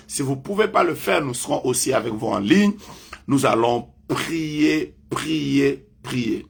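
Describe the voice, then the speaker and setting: A French-speaking man leading a live online prayer as a prophet, making emphatic, repetitive declarations that suddenly rise loud. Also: medium pitch, creaky, husky.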